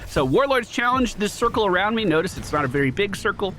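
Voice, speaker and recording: A young man talks through his gameplay in a slightly nasal voice, fighting off a cold. His pitch bounces around a lot and jumps up when a play lands. Steady background noise sits under the voice. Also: fast. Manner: casual, swears, excitable bursts when plays land. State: getting a cold. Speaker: gaming YouTuber. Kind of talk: live gameplay commentary.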